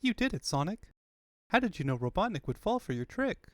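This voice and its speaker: A man's voice: high-pitched, sing-song, brisk, a bit bright, dry recording, clean studio sound, voice pushed up. A man voicing an earnest, admiring young cartoon fox sidekick for a comic fan dub.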